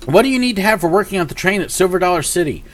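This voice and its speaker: Man in his 40s, upbeat live-stream host, lively up-and-down delivery, fairly high voice, slight sniffle. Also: racing. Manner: chatty, upbeat, rambling with many fillers. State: sniffly from a cold. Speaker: YouTube host. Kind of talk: live Q&A and mail call. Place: home office with shelves of collectibles.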